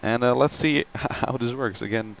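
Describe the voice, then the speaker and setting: A man's voice: mid-range voice, moderate pace, vocal fry, highs cut off, a little hiss or hum. A man hosting a flight simulator live stream, chatting casually with many ums and greeting viewers by name.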